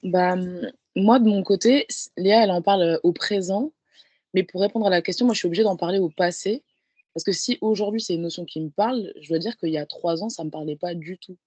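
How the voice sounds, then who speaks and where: A woman's voice: lowish voice, fairly quick, warm tone, dry recording. A woman in her twenties from Paris, speaking casually and reflectively as a guest in a conversation. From Paris, France. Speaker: guest, professional handball player.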